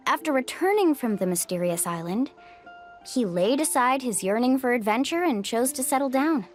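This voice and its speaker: A woman plays an outgoing young female guide in a video game. Her voice is high and lively, with an upbeat, encouraging manner, over soft music. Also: lightly acted. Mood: reflective, admiring.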